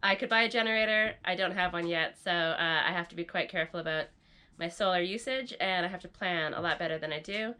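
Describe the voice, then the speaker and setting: A woman talks to camera in a relaxed, chatty vlog manner, thinking aloud as she goes. Her voice is bright and forward, though audibly stuffed up and congested. She sounds easygoing and unhurried indoors in a rustic barn. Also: on the low side, smooth. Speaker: vlogger renovating an off-grid barn. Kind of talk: casual vlog talk to camera.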